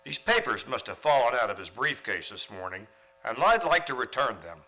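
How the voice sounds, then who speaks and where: A man's voice: mid-range voice, expressive, moderate pace, bright, forceful tone, poor audio. A man playing an earnest, mild-mannered biology teacher in an old-time radio comedy. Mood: polite, puzzled.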